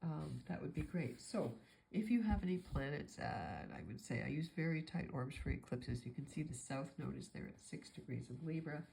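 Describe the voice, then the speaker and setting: A woman's voice: low-pitched, normal speed, creaky, warm tone, a little hiss or hum. A woman astrologer with a stuffy nose from a cold, talking through a forecast in a meandering, thinking-aloud way.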